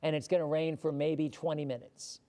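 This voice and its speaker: A hoarse man hosting a live weather show, chatting casually and answering viewer questions, often repeating words as he thinks. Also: a little high, level tone, normal speed, mellow.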